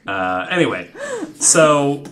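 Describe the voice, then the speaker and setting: A man with an American accent hosting a casual, joking podcast with a deadpan, self-deprecating manner. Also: medium pitch, slow. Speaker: podcast host.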